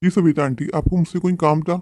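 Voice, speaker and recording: A man playing a protective young widowed father in a Hindi cartoon, earnest, respectful and gentle in manner. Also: fairly high pitch, steady tone, fast talker, dry recording, natural voice. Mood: worried.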